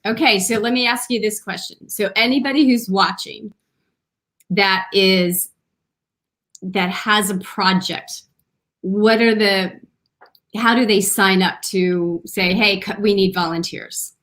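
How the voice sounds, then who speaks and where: A woman's voice: medium pitch, steady tone, takes it slowly, fairly bright tone. A woman hosting an interview, asking chatty, enthusiastic questions. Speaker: interview host. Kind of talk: conversational interview.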